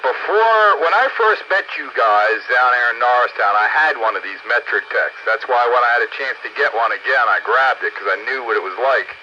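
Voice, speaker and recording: A man's voice: mid-range voice, animated, fairly quick, hardly any pauses. A man chatting casually as a hobbyist over a CB radio link. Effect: CB radio transmission. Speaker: amateur CB radio operator. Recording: muffled lo-fi radio, clear static.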